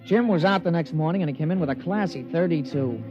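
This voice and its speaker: A man's voice: fairly high pitch, quick pace, close to an everyday voice. A man playing a folksy, eager golf caddy in a 1950s radio drama, telling the tale in slangy, colloquial speech. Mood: wry storytelling. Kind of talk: 1950s radio drama acting, narration and dialogue. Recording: muffled vintage 1950s radio recording, music behind.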